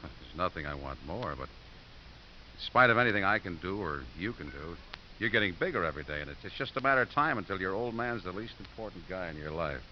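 Tough tender dad, American, very low pitch, colloquial, old radio acting, muffled hissy recording. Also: male voice, animated, fairly quick. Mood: tender, rueful. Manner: gruff, colloquial, fatherly. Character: tough, tender, plain-spoken football coach and father. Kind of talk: 1950s radio drama acting.

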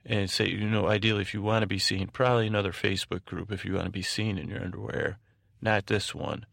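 Gravelly, low-voiced man rambling at bedtime. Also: steady tone, a bit bright, dry recording, clean studio sound. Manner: halting, digressive, trailing off and restarting mid-thought; whimsical, tongue-in-cheek. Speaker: podcast host. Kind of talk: rambling, meandering bedtime monologue meant to lull listeners to sleep.

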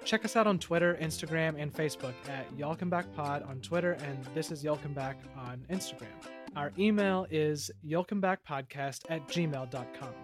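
A man reading scripted podcast credits and sign-off as the show's announcer. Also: a little high.

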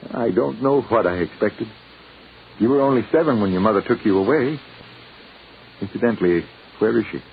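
A man's voice: on the low side, normal speed, gravelly, long pauses. Stubborn middle-aged businessman on scratchy old radio. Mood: gentle. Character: wealthy, stubborn businessman father desperate for his lost daughter. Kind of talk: 1940s radio detective drama acting. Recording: scratchy old radio broadcast, narrow band, noisy.

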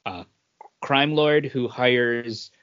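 A man's voice: mid-range voice, very slow, a bit bright, clean tone, dull audio. A man co-hosting a podcast, chatting casually about geek culture and often searching for his words. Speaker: podcast co-host.

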